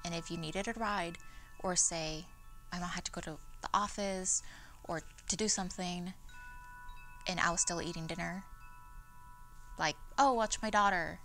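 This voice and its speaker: A woman's voice: on the low side, fairly even intonation, normal speed, pauses often, sharp tone, soft background music. A young woman playing an earnest farmworker union activist in a dramatized first-person monologue. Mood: warm recollection. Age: young adult. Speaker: actor in oral history dramatization.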